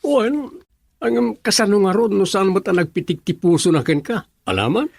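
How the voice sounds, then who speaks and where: A man's voice: high voice, animated, moderate pace, gravelly, no room sound, exaggerated voice. A man acting a gossipy but levelheaded buddy who gives advice in an Ilocano radio drama. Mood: advising, exasperated.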